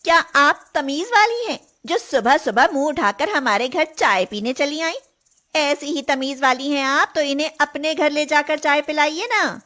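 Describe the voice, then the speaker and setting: A woman voicing an insolent, sharp-tongued young daughter-in-law with a haughty, confrontational manner in a cartoon story. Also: sits high, expressive, measured pace, exaggerated voice. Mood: defiant, rude.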